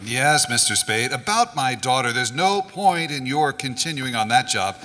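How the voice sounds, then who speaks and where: A man's voice: medium pitch, sing-song, normal speed, sharp tone. A man playing a formal, hesitant older banker in a radio drama on stage. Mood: stiff, guarded. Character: stiff, uneasy older wealthy banker father. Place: stage in a hall. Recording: echoey hall, clear music behind.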